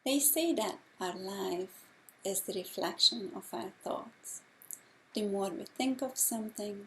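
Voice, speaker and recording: Slow-speaking Hungarian-accented woman. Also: mid-range voice, a bit bright, a little hiss or hum, low-quality recording. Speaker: breathwork instructor. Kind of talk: slow guided talk.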